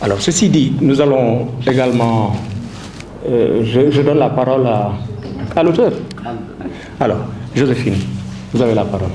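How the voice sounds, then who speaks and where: A man, a professor acting as moderator, presenting a book in a halting way full of fillers and restarts. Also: medium pitch, lively intonation. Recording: noisy room with audience chatter.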